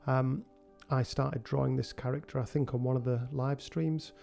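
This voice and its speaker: Gravelly man with a head cold. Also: medium pitch, moderate pace, soft background music. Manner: relaxed, thinking aloud with many ums. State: has a cold. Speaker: game developer tutorial host. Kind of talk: screen-recorded software tutorial.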